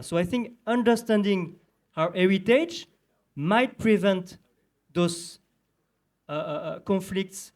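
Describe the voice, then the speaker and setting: A man from southern France with a French accent, speaking as a panelist at a conference and pausing often on fillers. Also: high-pitched, a little mellow. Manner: hesitant, many filler pauses.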